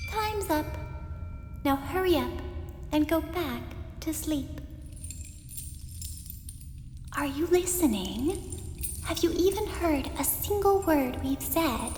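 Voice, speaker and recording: A woman's voice: high-pitched, animated, slow, a little mellow. A woman playing a stern young girl prison warden in an ASMR roleplay, giving clipped, imperious commands. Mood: scolding, impatient. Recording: echoey hall reverb over clear music.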